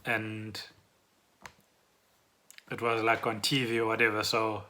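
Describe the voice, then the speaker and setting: A man with a South African accent and a very bright, forward voice. He talks casually and off the cuff, as a podcast co-host thinking aloud. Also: lowish voice, flat delivery, slow pace. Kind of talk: casual unscripted podcast chat.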